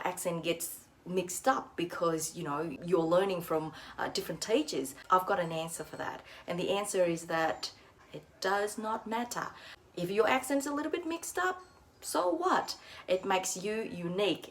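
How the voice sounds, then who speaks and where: Woman English-teaching vlogger, Australian accent with Vietnamese trace, lowish voice, faint home noise behind. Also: lively intonation, normal speed. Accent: Australian with a slight Vietnamese touch. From Vietnamese background, lives in Australia. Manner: encouraging, many fillers like uh and you know. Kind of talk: casual direct-to-camera teaching. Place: at home indoors.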